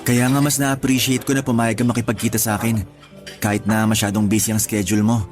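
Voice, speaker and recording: A man's voice: lowish voice, flat delivery, close to an everyday voice. A man acting as a courteous, mostly serious man on a first date in a Tagalog radio drama. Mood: polite, shy. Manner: courteous, measured. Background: background music.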